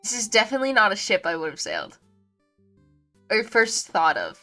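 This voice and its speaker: A woman's voice: mid-range voice, huge pitch swings, fairly quick, sharp tone. A woman game streamer giving casual, sweary and effusive livestream commentary on a visual novel. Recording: music behind the voice.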